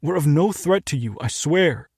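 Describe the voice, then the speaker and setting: A man voicing a weary young American man who speaks earnestly and calmly to talk his way out of danger. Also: fairly high pitch, normal speed, breathy edge, no room sound, clean studio sound. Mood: pleading. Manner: earnest, placating. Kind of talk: audiobook character voice.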